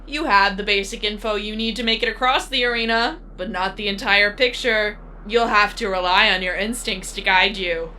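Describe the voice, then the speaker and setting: A woman's voice: mid-range voice, normal speed, few pauses, pushed and bright, a little hiss or hum, lightly acted. A woman playing a disembodied, coolly menacing female announcer of a deadly arena game in an audio drama, composed and instructive. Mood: coolly teasing. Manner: composed, instructive, matter-of-fact about death. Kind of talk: scripted audio drama acting.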